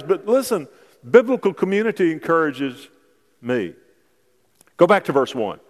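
A man pastor preaching a sermon, telling personal stories in a conversational way and rising to emphatic calls to his church. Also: medium pitch. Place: church sanctuary. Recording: long hall reverb from a church sanctuary.

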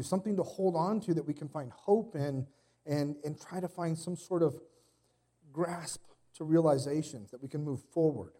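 A man in his thirties preaching a casual sermon while sick with a cold, his voice deeper than usual, with a slight cough and many fillers and restarts. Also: warm tone, husky. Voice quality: extra deep voice today. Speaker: pastor. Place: church.

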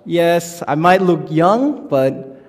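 A man in his thirties, from South Korea, preaching as a youth pastor in a halting, informal, friendly way. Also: a little high, lively intonation. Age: 30s. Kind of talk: sermon. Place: church sanctuary. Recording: long church reverb.

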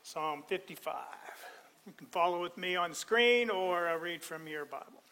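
A middle-aged male pastor preaching a sermon, animated and joking with the congregation. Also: sits high, moderate pace.

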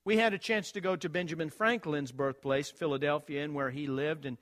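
A man's voice: a little high, steady tone, fairly quick, fairly bright tone. A man from Virginia, a missionary, thanking supporters in a friendly, lightly joking church talk while showing slides. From Virginia, USA.

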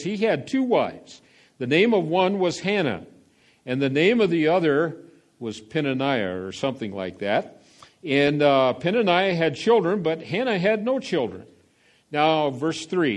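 An older male pastor delivering a folksy sermon, full of fillers and asides, swinging between quiet remarks and loud calls to the congregation. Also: fairly high pitch. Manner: folksy, meandering preaching with frequent fillers.

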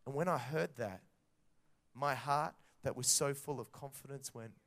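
A man preaching a sermon to children and parents, telling a story in a lively way and acting out the dialogue. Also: medium pitch, measured pace, a little hiss or hum.

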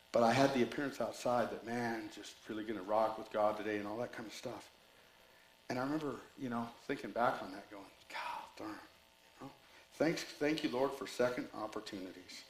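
A man's voice: medium pitch, fairly bright tone, reverberant, poor audio. A man preaching a sermon with rambling asides and jokes, reading scripture and telling a story. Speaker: pastor.

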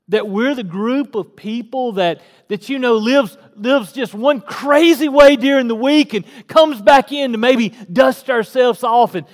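A man's voice: high voice, long reverb tail. A man, preaching a casual, folksy sermon to his congregation and rising to fervent emphasis. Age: 40s. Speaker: pastor. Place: church sanctuary.

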